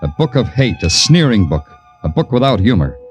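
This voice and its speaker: An actor playing a wry, weary hard-boiled American police detective who narrates a vintage radio crime drama in a deadpan, sardonic way. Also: male voice, medium pitch, moderate pace, natural voice. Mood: wry narration. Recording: vintage radio recording, music underneath.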